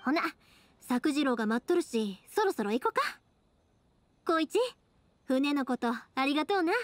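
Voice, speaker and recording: An actress playing a cheerful, warm-hearted young woman in an anime game, with a breezy, friendly Kansai dialect. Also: high-pitched, expressive, measured pace, no echo. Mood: resolute, friendly. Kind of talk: Japanese anime game voice acting. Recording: music behind the voice.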